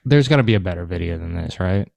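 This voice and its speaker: A man's voice: sits low, lively intonation, fast talker, gravelly, darkish tone, no room sound. Swearing man co-hosting a podcast. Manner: swears a lot, rambling. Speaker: podcast co-host. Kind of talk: casual podcast banter.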